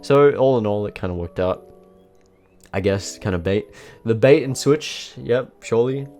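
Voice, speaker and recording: A man with a somewhat low voice, a little nasal from a blocked nose, talks through his own match in a loose, self-mocking way. Soft music hums faintly behind him. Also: normal speed. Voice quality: slightly nasal. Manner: self-mocking, relaxed, frequent fillers. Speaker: gameplay commentator. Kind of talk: casual voice-over commentary on his own match. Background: faint background music.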